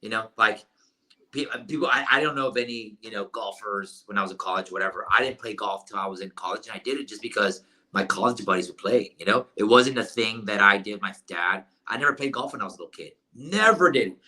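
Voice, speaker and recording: A man's voice: on the low side, fast, bright, forceful tone, rough recording. A man in his late thirties, hosting a podcast, asking rambling, enthusiastic questions in casual chat. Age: late 30s. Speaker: podcast host.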